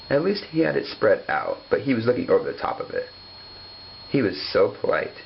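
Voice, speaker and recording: A man's voice: on the low side, creaky. A man narrating a children's story from the page, on a dull home recording with some hum. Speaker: storybook reader.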